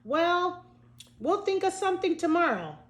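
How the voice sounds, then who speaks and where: A woman's voice: very high voice, big pitch swings, measured pace, voice pushed up, heavily acted. A woman voicing eager, earnest young schoolchildren in a storybook read aloud. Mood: confident, eager. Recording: echoey room.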